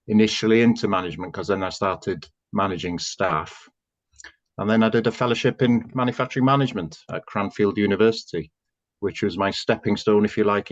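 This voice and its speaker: A man from North Wales, a native Welsh speaker, speaks in a very low voice. He's a chief executive answering interview questions in a relaxed, unhurried way with plenty of ums. The audio sounds dull and lo-fi. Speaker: chief executive, podcast guest.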